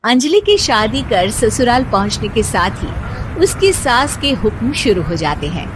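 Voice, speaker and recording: A woman narrating a cartoon bedtime story in a steady, plain storytelling manner. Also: on the high side, unhurried, noisy background.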